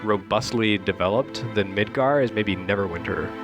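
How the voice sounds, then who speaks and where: A man's voice: fairly low pitch, normal speed. A man giving casual, opinionated and wry commentary on video games. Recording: music behind the voice.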